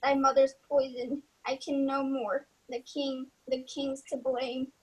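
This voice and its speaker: A woman's voice: high voice, little pitch movement, measured pace, poor audio. A young woman playing a proud young male duelist with a conscience in a Shakespearean radio play. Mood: dying, remorseful. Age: young adult. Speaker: student actor.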